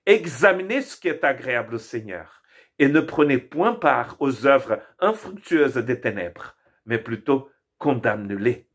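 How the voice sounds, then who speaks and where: Earnest preacher, Portuguese native speaker. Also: male voice, fairly high pitch, husky. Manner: earnest, preacherly, stresses words by slowing down. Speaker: pastor. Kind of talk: daily Bible devotional.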